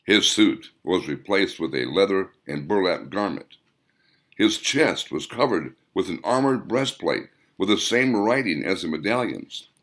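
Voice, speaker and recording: A man's voice: low-pitched, lively intonation, a little slow, fairly bright tone. A man reading an audiobook story in a dramatic, descriptive storytelling manner. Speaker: author reading own audiobook. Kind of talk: scripted audiobook narration.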